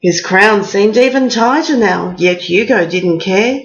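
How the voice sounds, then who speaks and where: A woman reads a rhyming children's bedtime story as its narrator. Her voice sits at a middle pitch and she takes the verse slowly. The recording is slightly dull. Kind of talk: bedtime picture-book reading in rhyming verse.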